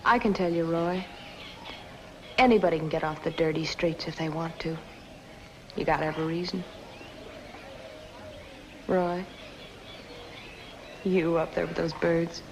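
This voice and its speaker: An actress playing a lonely, devoted young American woman in a 1950s film noir, breathy, hushed, wistful and guarded. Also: low-pitched, fairly even intonation, unhurried, pauses often, slight background noise, low-quality recording. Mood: tender. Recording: old film soundtrack with music underneath.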